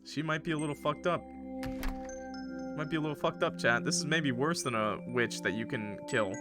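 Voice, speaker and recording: A man's voice: fairly high pitch, moderate pace, over loud music. A man streaming a game with casual, jokey, slangy commentary. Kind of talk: casual commentary mixed with read-aloud narration.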